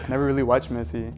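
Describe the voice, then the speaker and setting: Male, medium pitch, short hesitant replies, casual panel chat, faint music bed. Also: darkish tone. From Paraguayan and Ecuadorian background. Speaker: contestant in a fan panel game.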